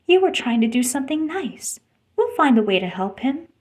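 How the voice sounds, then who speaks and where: A woman voicing a gentle, caring young mermaid princess for a storybook read-aloud. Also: high voice, sing-song, moderate pace, pitched above the performer's own voice. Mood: soothing.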